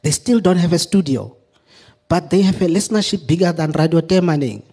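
A man speaking off the cuff on a panel, earnest and deliberate, in stop-start phrases with repeated words. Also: a little high, dark tone, breathy edge. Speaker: community radio representative on a panel. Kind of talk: extemporaneous panel discussion remarks.

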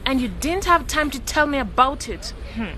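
High-voiced young girlfriend. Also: lively intonation, normal speed, pushed and bright, slight background noise. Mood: teasing. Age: 20s. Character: affectionate, teasing young girlfriend, reproachful but tender. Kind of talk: radio drama acting.

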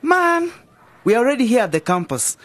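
A man's voice: very high-pitched, very animated, a little fast, fairly bright tone, dry recording, full character voice. A man playing an eager, informal youngster in a radio drama. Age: young adult. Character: lively youngster, keen would-be community broadcaster.

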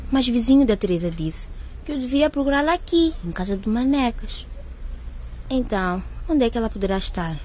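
Radio soap schoolgirl: high voice, caring, naive, anxious. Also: lively intonation, a little fast, a little mellow. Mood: searching. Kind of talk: radio soap opera acting.

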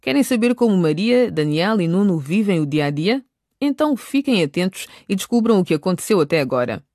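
A woman's voice: lowish voice, big pitch swings, fairly quick, a little mellow, no echo. Dry, close-miked woman narrator. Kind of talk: scripted radio drama narration.

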